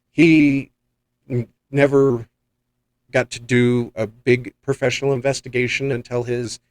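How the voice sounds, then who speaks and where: A man's voice: medium pitch, a little mellow, dry recording. A man hosting a podcast, telling rambling, enthusiastic anecdotes in a casual chat. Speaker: podcast host and paranormal investigator.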